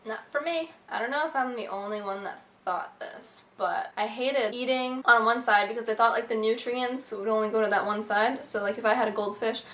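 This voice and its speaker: A woman's voice: a little high, brisk, hardly any pauses, fairly bright tone. A young woman in college, born in Egypt and raised in the USA, talking casually through a list of facts about herself with lots of "like" and fillers. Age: young adult. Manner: chatty, bubbly, filler-heavy with lots of "like". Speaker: beauty vlogger. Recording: echoey room, faint hiss.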